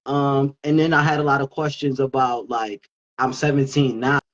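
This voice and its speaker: A man's voice: mid-range voice, level tone, moderate pace, lo-fi sound. A man co-hosting a talk show, chatting casually and informally, in a conversational storytelling way. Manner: informal, conversational, quotes himself. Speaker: talk show co-host, youth worker. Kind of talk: casual anecdotal chat.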